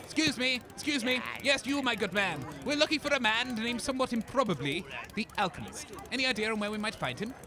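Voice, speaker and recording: A man playing a high-voiced, pompous adventurer. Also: animated, quick pace, few pauses, crowd noise. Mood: brisk, haughty. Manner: pompous, wordy, theatrical. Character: pompous, overconfident male radio DJ on the run. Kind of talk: comic audio drama acting. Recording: noisy outdoor ambience with vehicles.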